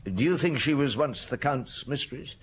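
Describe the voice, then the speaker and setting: An actor playing a thoughtful, tactful chief inspector in a radio drama, probing with hesitations and murmured hums. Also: male voice, mid-range voice, animated, moderate pace, lightly acted. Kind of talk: classic radio drama acting. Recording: noisy old radio broadcast.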